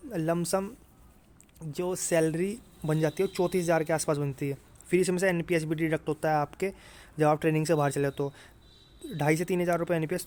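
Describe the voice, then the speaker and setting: A man's voice: fairly high pitch, darkish tone. A man with a North Indian accent giving an informal explainer to students, conversational, repeating points and often checking that listeners follow. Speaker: exam-prep YouTuber explaining job salaries.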